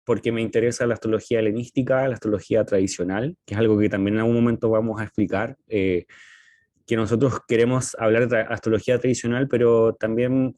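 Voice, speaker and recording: A man with a Chilean accent talking casually as a podcast co-host, in a halting, hesitant way with frequent pauses. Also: lowish voice, warm tone, no echo. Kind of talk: casual conversational podcast talk.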